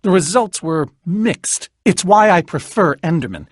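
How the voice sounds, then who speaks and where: An eccentric middle-aged scientist for a video game. His voice sits a little high and swoops widely through pompous, wordy phrasing. He sounds like a lonely, excitable scholar, recorded close and dry. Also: unhurried, dry recording. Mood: wry. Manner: theatrical, verbose, pompous scholarly diction. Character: eccentric, lonely, excitable male researcher obsessed with studying creatures. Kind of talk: animated video game voice acting.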